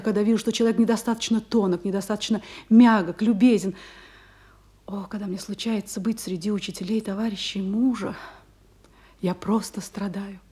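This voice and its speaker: A husky, mellow female voice with a languid theatrical delivery. An actress plays a discontented, refined married gentlewoman, sensitive and disdainful, in a classical drama. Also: mid-range voice, lightly acted. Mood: pained disdain. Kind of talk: classical stage drama acting.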